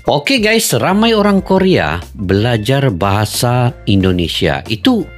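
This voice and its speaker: A man narrating a video compilation in a calm, explaining voice-over. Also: medium pitch, measured pace. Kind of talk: voice-over commentary. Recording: music bed under the voice.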